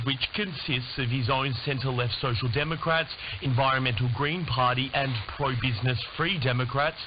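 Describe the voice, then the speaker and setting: Male correspondent on hissy, muffled shortwave. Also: medium pitch. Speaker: news correspondent. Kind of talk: scripted news report. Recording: muffled shortwave radio with heavy hiss.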